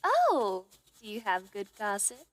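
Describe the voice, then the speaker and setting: A woman's voice: medium pitch, huge pitch swings, measured pace, no echo. A woman playing an eager, playful, gossip-loving young confidante in a fantasy audio drama.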